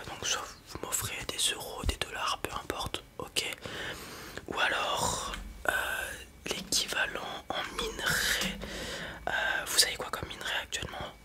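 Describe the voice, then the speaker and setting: Whispering man as a casual space robot merchant. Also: lightly acted. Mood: casual, matter-of-fact. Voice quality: breathy whisper. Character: gruff, casual male space robot merchant, wary but kind. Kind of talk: whispered ASMR roleplay.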